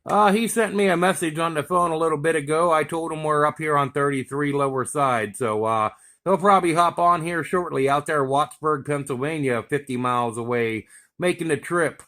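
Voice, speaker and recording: An American man talks casually over two-way radio as a CB operator. His voice is bright and forceful, sitting slightly higher than most men's. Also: moderate pace.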